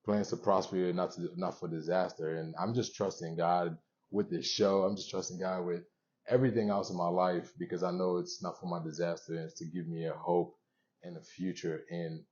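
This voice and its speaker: Deep-voiced, mellow young man hosting. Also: lo-fi sound. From born in Haiti, moved around the US. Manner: earnest, conversational, pauses between short phrases. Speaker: show host. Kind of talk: motivational talk to viewers.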